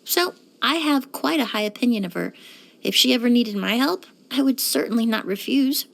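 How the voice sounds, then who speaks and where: A poised young perfumer from a video game, with a voice a little on the high side. She speaks in measured, articulate phrases with a refined, polite composure. Her delivery is that of a scripted character monologue, quietly curious and thoughtful. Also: lively intonation, vocal fry, heavily acted. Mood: fond, appreciative.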